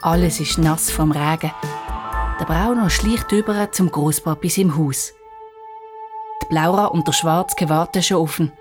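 A woman's voice: low voice, unhurried, music in the background. A woman with a Swiss German accent narrating a scripted children's story.

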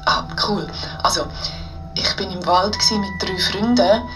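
An actress with a Swiss German accent playing an unsure, conversational caller telling a spooky story on radio. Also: female voice, medium pitch, a little slow, lightly acted, rough recording. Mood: tentative, friendly. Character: tentative female caller recounting a spooky memory. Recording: loud music behind radio caller.

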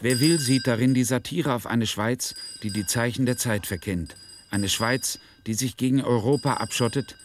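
A man's voice: medium pitch, normal speed, noisy background. A man delivering scripted narration as a radio reporter.

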